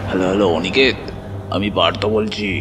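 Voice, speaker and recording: A man's voice: fairly low pitch, fast, close to an everyday voice. A man playing a desperate friend in an audio horror story, pleading with stammers and repeated words. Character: desperate childhood friend pleading to save his father. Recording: loud music behind the voice. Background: music.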